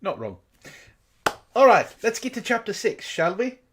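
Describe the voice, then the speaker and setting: A man's voice: sits high, big pitch swings, moderate pace, husky. A man bantering in a comedic book club chat, theatrical and mock-pompous, easily worked up. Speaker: book club co-host and reader.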